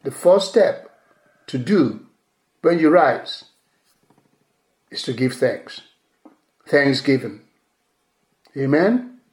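A male pastor whose first language is Yoruba preaches a sermon in a measured way, repeating key phrases for emphasis. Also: medium pitch, animated. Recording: echoey room.

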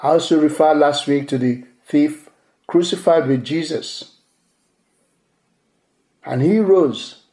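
Slow, deliberate pastor with a mellow voice. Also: male voice, on the high side. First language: Yoruba. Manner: measured, repeating key phrases for emphasis. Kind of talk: sermon. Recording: echoey room.

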